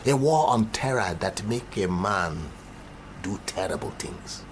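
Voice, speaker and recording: An actor playing a wily, menacing Nigerian fixer in a radio drama, speaking theatrically with a Nigerian accent and pidgin turns of phrase. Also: male voice, sits low, animated, unhurried, slight background noise. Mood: brooding, menacing. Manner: pidgin English, theatrical, sly and taunting. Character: wily, menacing Nigerian male fixer who acts as the law.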